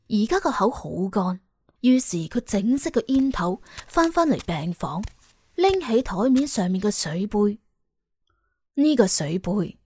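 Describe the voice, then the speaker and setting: A woman's voice: medium pitch, dark tone, husky, no echo, clean studio sound. A woman with a Cantonese accent reading a scripted suspense audiobook as its narrator.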